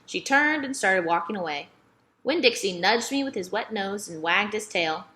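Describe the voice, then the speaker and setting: A woman's voice: mid-range voice, animated, moderate pace, bright, forceful tone. A woman reading a children's novel aloud as scripted first-person narration. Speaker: storybook reader.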